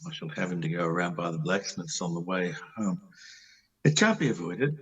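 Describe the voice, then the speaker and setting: A man playing a restless, wistful middle-aged country doctor in an amateur play reading, husky and theatrical. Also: low-pitched, moderate pace, dull audio. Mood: polite farewell. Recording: slightly distant microphone.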